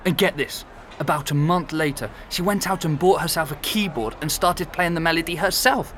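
Actor as exasperated male ex-flatmate, higher-pitched voice, animated storyteller, conversational radio drama, music behind. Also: moderate pace, slight background noise, natural voice. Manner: animated storytelling, mimicking and exclaiming. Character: exasperated, chatty former flatmate being interviewed. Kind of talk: conversational audio drama acting.

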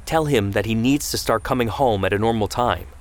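A male narrator plays a worried father in a family story. His voice is fairly low, earnest and tender, with a pleading edge. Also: brisk, lightly acted. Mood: concerned.

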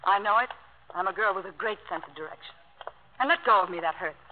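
A woman's voice: mid-range voice, fast talker, lightly acted. An actress playing a strong-willed young woman, biting and sarcastic with her lover, in a 1950s radio drama. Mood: defiant. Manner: biting, sarcastic, self-possessed. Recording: muffled vintage radio broadcast.

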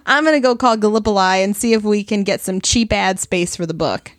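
A woman's voice: mid-range voice, fairly quick, no room sound, clean studio sound, natural voice. An actress playing a shrewd, cynical woman con artist who is wry and matter-of-fact, in an audio play. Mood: wry, businesslike.

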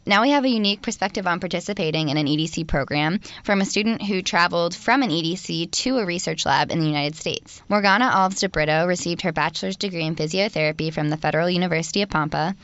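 A woman hosting a podcast, relaxed and chatty, reading introductions and thanking guests. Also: on the low side, quick pace, few pauses, dry recording. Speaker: podcast host. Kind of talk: casual podcast chat and scripted intros. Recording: dry, dull lo-fi audio.

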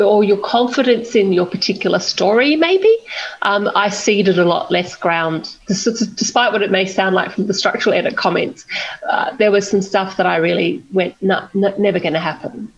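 A woman speaks as a novelist guest, chatty and self-deprecating, with a voice that often slips into gravelly fry. Her audio is dull and lo-fi. She talks candidly and laughs easily. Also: medium pitch, moderate pace. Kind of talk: candid conversational interview.

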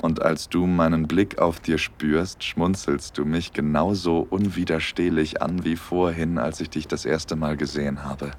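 A young man in love, in a romantic audio drama. His voice is deep and low. He speaks tenderly and intimately, as a smitten, slightly nervous storyteller. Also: little pitch movement, a little slow, hardly any pauses. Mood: longing, intimate. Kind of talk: intimate first-person audio drama narration and dialogue.

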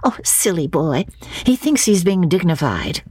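Young wife for classic audiobook, played by a woman: brisk, teasing, wistful, highly animated, breathy edge. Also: dry recording, exaggerated voice. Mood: exasperated. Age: young adult. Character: young English wife, fond, scolding, wistful. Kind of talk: dramatic audiobook reading.

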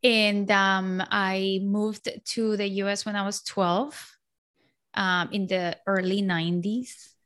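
A woman's voice: mid-range voice, little pitch movement, slow, fairly bright tone, clear, resonant tone. Chatty outgoing woman in her forties. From Colombia. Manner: outgoing, chatty, many fillers and restarts. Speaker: guest. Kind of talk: casual interview chat.

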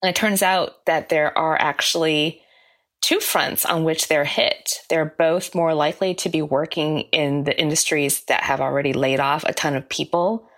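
A woman reporter with a strikingly low voice walks through her findings in a measured, explanatory way. Her delivery is steady and informative, an interview answer.

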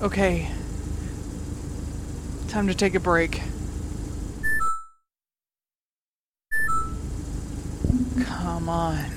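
A woman's voice: fairly low pitch, steady tone, slow. An actress playing an irritated, sarcastic woman who drives a rover alone and mutters and swears to herself, in naturalistic audio drama. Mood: weary, frustrated. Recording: clear vehicle noise, rover cabin ambience.

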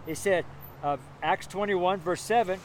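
A man's voice: high-pitched, a little slow. A man in his seventies giving an informal, rambling street sermon in a strong, carrying voice, exclaiming and repeating phrases for emphasis. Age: 70s. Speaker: street preacher. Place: outdoors on a city street among buildings. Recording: outdoor street noise, traffic ambience.

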